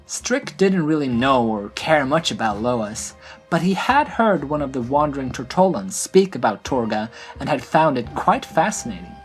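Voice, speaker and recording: Measured fantasy story narrator over music. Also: mid-range voice, sing-song.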